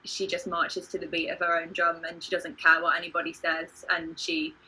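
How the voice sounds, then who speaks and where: A woman's voice: lowish voice, flat delivery, moderate pace, pushed and bright, husky, low-quality recording. A woman chatting casually as a host on a livestream, earnest and hesitant, sometimes poking fun at herself. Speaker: playwright and podcast host. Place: home video call.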